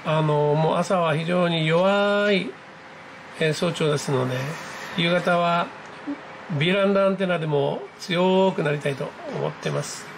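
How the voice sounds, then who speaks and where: A man giving casual, excited live commentary as a hobby vlogger, reacting aloud in exclamations as he goes. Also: on the high side, unhurried, gravelly, background noise. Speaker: amateur radio operator hosting his own video. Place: indoors at a desk, filming a computer screen.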